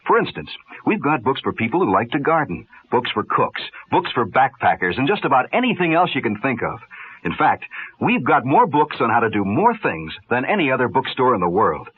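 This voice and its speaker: A man's voice: medium pitch, big pitch swings, fairly quick, husky. A man announcing a scripted 1970s radio advertisement. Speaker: commercial announcer. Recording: muffled narrow-band vintage radio.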